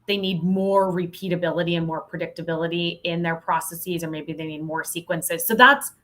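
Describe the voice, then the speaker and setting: Woman, lowish pitch, candid and emphatic, informal interview storytelling, podcast guest. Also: steady tone, fairly quick, fairly bright tone. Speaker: podcast guest, sales consultant.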